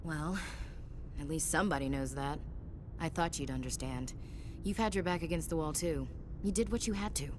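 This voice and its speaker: An actress playing a proud, defiant young human soldier in a video game, with a blunt, sardonic manner. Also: female voice, moderate pace, long pauses, natural voice. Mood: reflective. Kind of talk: video game dialogue acting. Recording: rumbling background noise.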